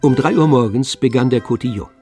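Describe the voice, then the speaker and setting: A man narrating a literary radio drama from a script in a measured storytelling manner. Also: medium pitch, warm tone. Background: music with violin.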